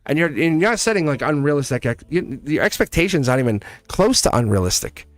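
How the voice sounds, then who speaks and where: A man's voice: a little high, big pitch swings, quick pace, no echo. A young man doing a casual, upbeat live tarot reading, talking to viewers in a chatty way and laughing often. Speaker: tarot reader.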